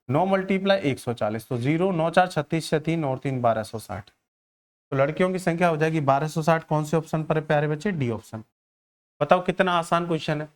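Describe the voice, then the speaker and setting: A man teaches an exam-coaching maths class in a fairly high, clear voice. He works through each step aloud, repeats key phrases and puts questions to his students, addressing them affectionately. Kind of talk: exam-coaching lesson, solving problems aloud.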